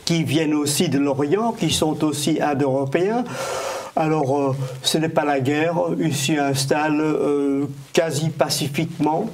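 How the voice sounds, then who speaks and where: A man's voice: a little high, fairly even intonation, unhurried, darkish tone, a little hiss or hum. A man who is not a native French speaker, explaining history in a studio discussion, hesitating often with fillers and restarts. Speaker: guest historian.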